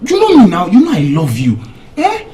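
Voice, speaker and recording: An actor playing a coaxing, impatient young suitor with a Nigerian accent, pleading in a film drama. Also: male voice, high-pitched, huge pitch swings, brisk, a crowd in the background. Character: wheedling, impatient young male suitor pressing for intimacy.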